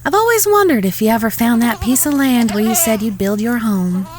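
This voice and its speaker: A woman playing a high-voiced Southern ranch woman. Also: lively intonation, fairly quick, lightly acted. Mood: gentle, wistful. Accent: Southern American. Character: Miss Kitty, proud, wronged American Old West ranch woman. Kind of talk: dramatic Western audio drama acting.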